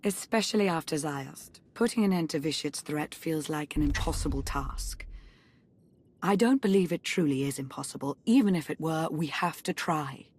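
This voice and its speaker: A proud, dutiful Sith spymaster in a video game cutscene. Her voice sits fairly low for a woman, and she speaks in a measured, formal, earnest way, composed even when she blames herself. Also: slightly rough. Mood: sober resolve. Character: composed, dutiful Sith intelligence chief, proud but self-reproachful woman.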